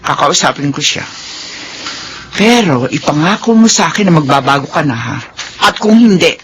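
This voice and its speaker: A woman's voice: low-pitched, expressive, normal speed, slight background noise. An actress playing an older, well-off matriarch in a Filipino radio drama, protective and firm in her admonishing. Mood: stern, admonishing.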